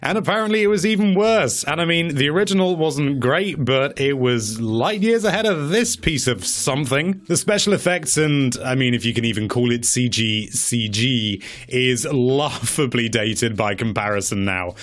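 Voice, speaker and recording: A man's voice: mid-range voice, normal speed, fairly bright tone. A man with a mid-range voice gives casual, opinionated review commentary. His delivery is wry, leaning into mock-dramatic emphasis. He stretches key words and repeats them for effect as he presents. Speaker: presenter of a video game movie countdown.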